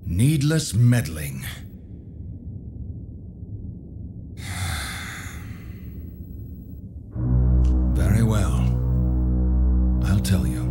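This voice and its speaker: A grieving old recluse in a video game drama. His voice is very deep and heavy, moving with slow, guarded gravity and wide swings of tone, under loud music. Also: heavily acted. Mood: guarded, weary. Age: older. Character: grieving, reclusive old man, alchemist and embittered father. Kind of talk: video game cutscene voice acting. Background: loud background music.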